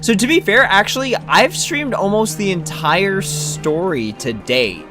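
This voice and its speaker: A male game streamer with a somewhat high voice chats casually about what he plays. His intonation swings around a lot, and game music plays behind him. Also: very animated, unhurried. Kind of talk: casual gameplay commentary.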